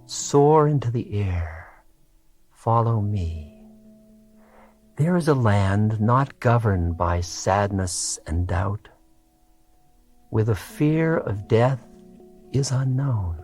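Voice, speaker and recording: A man reads poetry meditatively in a lowish voice. He goes extremely slowly, leaving space between short phrases. Soft guitar plays behind him. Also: animated, husky. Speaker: poetry reader. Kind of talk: very slow meditative poetry reading. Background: soft guitar music.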